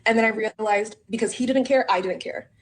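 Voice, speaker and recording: A woman's voice: a little high, a little fast. Hesitant woman in her thirties asking advice. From San Francisco Bay Area. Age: 30s. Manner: hesitant, lots of ums and likes. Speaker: caller seeking advice.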